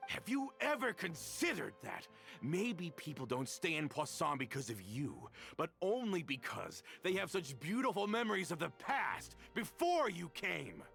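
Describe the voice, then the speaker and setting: A gravelly, bitter middle-aged traitor in a game cutscene, swinging widely in pitch as he hurls accusations. His tone is bright and biting, and faint music plays under him. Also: very animated, measured pace, exaggerated voice. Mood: accusing, furious. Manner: accusing, resentful, rising to shouts. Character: bitter vengeful middle-aged man, betrayer. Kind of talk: dubbed game voice acting.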